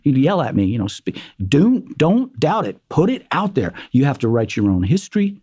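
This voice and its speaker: Man, musician guest, animated storyteller, dark mellow tone, dry close sound. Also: medium pitch, normal speed, husky, no room sound. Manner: animated storyteller, acts out quotes, many fillers. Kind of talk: conversational interview storytelling.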